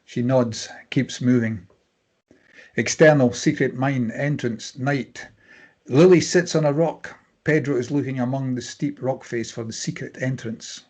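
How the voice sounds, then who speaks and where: A man narrates a screenplay, reading out scene headings and action. His voice sits at an average pitch and has a husky, unpolished grain. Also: unhurried, darkish tone. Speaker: narrator reading stage directions.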